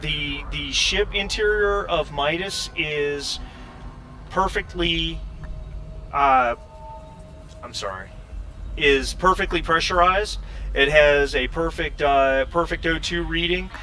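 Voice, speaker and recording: A man narrating a tabletop roleplaying game as game master, speaking in a halting, hesitant way. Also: on the high side, bright, forceful tone, faint music behind, rough recording.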